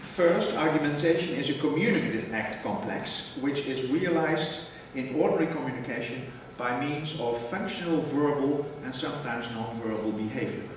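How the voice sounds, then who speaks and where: Man lecturing in an echoey hall. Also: mid-range voice. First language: Dutch. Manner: measured, reads a prepared text, precise wording. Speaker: academic lecturer. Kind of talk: formal academic conference lecture. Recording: echoey hall from far off with noise.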